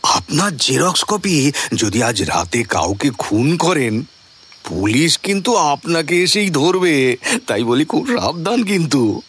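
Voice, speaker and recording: A man playing a jocular, teasing brother-in-law in a radio drama, playful and drawn-out in his delivery. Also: on the high side, very animated, a bit bright, husky, exaggerated voice.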